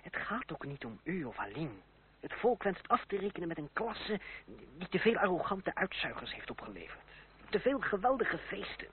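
An actor playing a shrewd, detached young man in a radio drama, explaining things in a measured, self-assured way. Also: high-pitched, big pitch swings. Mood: earnest. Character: shrewd, detached young nephew, former revolutionary on the run. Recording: tinny, faintly noisy old radio recording.

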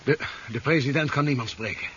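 A man playing a sick, wretched sentry in a 1970s radio drama, speaking weakly and haltingly. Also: medium pitch, fairly bright tone, natural voice. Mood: miserable, weak. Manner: weak, faltering, groaning. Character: sick, wretched male sentry guarding the president. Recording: dull, faintly noisy 1973 radio recording.

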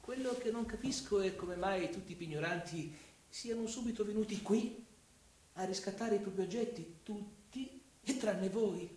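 An actor playing a shrewd, falsely courteous police investigator with a mannered, needling way of questioning, in radio theatre. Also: male voice, high-pitched, darkish tone, lightly acted. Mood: probing, insinuating. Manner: mannered, insinuating, drawn-out questioning. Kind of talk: Italian radio theatre acting. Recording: echoey room, distant mic.

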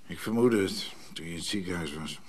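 A man playing a weary, subdued businessman in a Dutch radio play, murmuring haltingly. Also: low voice.

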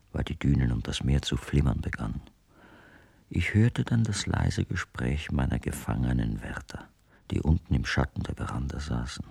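A man playing a brooding, self-assured writer who tells his story in measured, literary radio drama narration, often dropping to a breathy near-whisper. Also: rumbling low, darkish tone, no echo, exaggerated voice. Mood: quietly reminiscing. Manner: measured, deliberate, ornate phrasing. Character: brooding, self-assured bearded male writer, a confined houseguest recounting his life.

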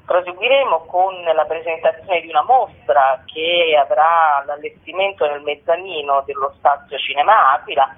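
A woman, a festival curator, explaining at length in a radio interview, articulate and pausing between phrases. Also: low voice. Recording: tinny narrow telephone line.

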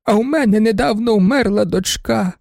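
A man reading an audiobook, voicing a shrill, hysterical older baroness who shrieks her complaints. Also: moderate pace, creaky, a little mellow, no room sound, clean studio sound, lightly acted. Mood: shrill complaining. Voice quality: shrieking, piercing. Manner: overwrought, complaining. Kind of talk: audiobook character voice.

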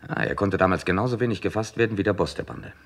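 Radio crime drama: low, husky police chief, middle-aged, musing, dryly superior. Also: male voice, expressive, fast, full character voice. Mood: satisfied, dry. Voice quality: gravelly, husky. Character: musing, wry Scotland Yard detective chief, male. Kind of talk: vintage German radio crime play acting.

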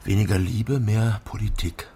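An actor playing a dry, terse East Berlin private detective in a naturalistic radio crime drama. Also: male voice, fairly low pitch, steady tone, normal speed, dark tone, slight background noise, close to an everyday voice. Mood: dry, probing.

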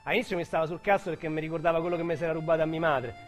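An actor playing an excitable, streetwise Roman small-time robber, telling his story as a theatrical radio drama monologue in slangy dialect. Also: fairly high pitch, very fast, natural voice. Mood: streetwise, reminiscing. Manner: slangy, vulgar, theatrical storytelling with shouts and hushed asides. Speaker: actor narrator. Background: music under the voice.

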